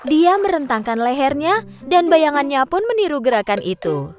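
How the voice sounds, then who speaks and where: A woman's voice: high-pitched, sing-song, moderate pace. A woman narrating a scripted children's story as a storyteller. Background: music.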